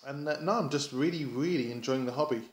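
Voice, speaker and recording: A man from England giving a casual hobby vlog update, rambling enthusiastically and haltingly, often repeating words as he goes. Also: medium pitch. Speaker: amateur radio hobbyist vlogger. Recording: echoey, roomy sound.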